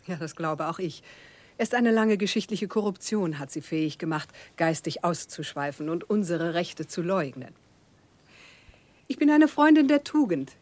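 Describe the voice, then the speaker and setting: A woman's voice: fairly low pitch, expressive, normal speed, darkish tone. An actress playing a haughty, capricious queen of France, imperious and condescending, in a German radio play. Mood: gracious, cool. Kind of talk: German radio play, theatrical stage diction.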